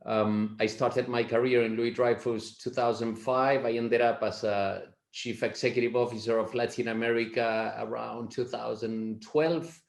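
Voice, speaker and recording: A middle-aged man from Argentina, speaking as a panelist in measured, slightly halting storytelling. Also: mid-range voice, darkish tone. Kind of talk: panel discussion. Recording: roomy echo.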